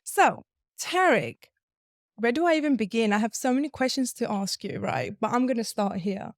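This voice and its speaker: A young woman hosting an interview podcast, curious and lively, asking follow-up questions. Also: on the high side, normal speed, dry recording, studio quality. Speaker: podcast host. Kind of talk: interview podcast, scripted intro then chat.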